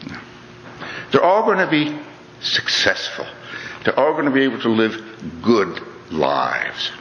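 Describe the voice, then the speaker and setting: A man's voice: fairly low pitch, wildly swooping pitch, unhurried, a bit bright, a little hiss or hum. A middle-aged man giving an earnest, conversational talk, often saying "you know" and restarting his phrases. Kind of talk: reflective lecture to an audience. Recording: dull lo-fi recording in a reverberant hall.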